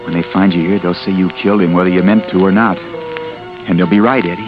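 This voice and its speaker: An actor playing a desperate man who killed by accident, brooding to himself in a hushed inner monologue, in 1940s radio acting. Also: deep voice, steady tone, brisk, close to an everyday voice. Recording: muffled old radio recording with music.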